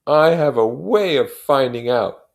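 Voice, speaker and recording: A brooding, grief-stricken husband from a novel, acted out by a man reading aloud. He mutters in halting, heavy phrases with obsessed conviction. The voice is lifted and strained upward, with a creaky edge. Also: mid-range voice, lively intonation, voice pushed up. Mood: grimly certain. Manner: slow, muttering, halting, emphatic.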